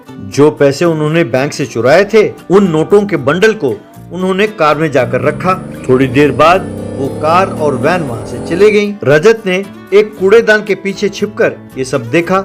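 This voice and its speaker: A man reading scripted narration for a children's cartoon story. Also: a little high.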